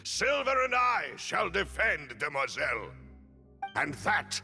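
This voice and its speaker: An actor playing a loyal, defiant and teasing manservant with formal, theatrical diction in a video game. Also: male voice, high voice, very animated, slow, gravelly, bright, forceful tone, long reverb tail, exaggerated voice. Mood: indignant, defiant. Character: loyal male servant defending his young lady, defiant, teasing. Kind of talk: dramatic video game voice acting. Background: music.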